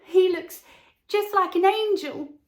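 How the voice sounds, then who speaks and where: Storybook charity child, acted by a woman: very high pitch, innocent, wide-eyed, eager. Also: takes it slowly. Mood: awed. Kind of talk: storybook character voice.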